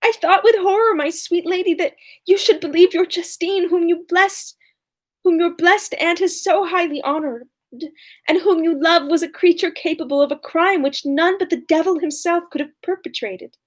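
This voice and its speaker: A woman reading aloud as a young condemned servant woman, tearful and pious, in an earnest, slow, mournful way. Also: very high voice.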